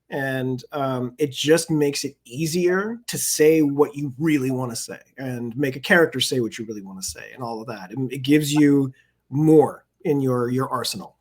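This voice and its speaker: A Canadian man, a poet, talking casually in an interview and reading his poems aloud in a measured cadence. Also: medium pitch. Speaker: poet and podcast guest. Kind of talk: conversational interview and poetry reading.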